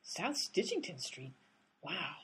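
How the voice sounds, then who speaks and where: An actress in a radio comedy playing an exasperated female amateur sleuth who talks in a brisk, bossy way. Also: deep voice, big pitch swings, sharp tone, slightly rough, full character voice. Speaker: lead character, amateur sleuth. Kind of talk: comic radio play acting.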